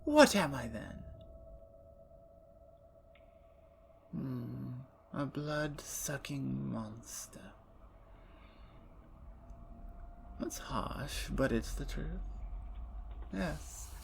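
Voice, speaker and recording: A woman voicing a playful, talkative female vampire in an ASMR roleplay, teasing and dropping into soft whispers. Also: a bass voice, animated, slow pace, gravelly, slight background noise, exaggerated voice.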